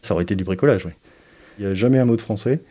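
Low, mellow-voiced thoughtful man. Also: racing. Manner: hesitant, thoughtful, frequent fillers. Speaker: interviewee, parent. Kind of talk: reflective interview testimony.

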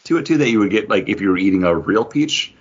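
A man's voice: on the low side, lively intonation, quick pace, breathy edge, lo-fi sound. A middle-aged man co-hosting a pop-culture podcast in casual, jokey chat. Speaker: podcast co-host.

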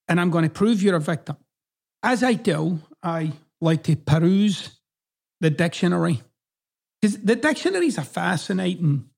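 Casting: middle-aged Scottish man, Americanized accent, higher-pitched, gravelly podcast host, blunt and sweary. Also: darkish tone. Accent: Scottish, partly Americanized. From Scotland. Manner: blunt, swears freely, emphatic. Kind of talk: casual monologue.

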